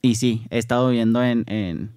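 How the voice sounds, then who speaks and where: A man with a northern Mexican accent, a music producer guesting on a podcast, talking in a relaxed, modest way with many fillers. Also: medium pitch, moderate pace, dry recording, clean studio sound. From Tijuana, Mexico. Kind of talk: casual interview.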